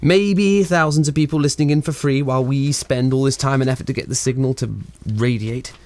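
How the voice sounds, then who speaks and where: A man's voice: medium pitch, steady tone, fairly quick, darkish tone, no echo, lightly acted. Wry wireless engineer, acted by a man. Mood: dry, sceptical. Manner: wry, rambling, exasperated, theatrically flippant. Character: witty, sardonic, reluctant male wireless engineer of the 1920s. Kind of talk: radio play acting.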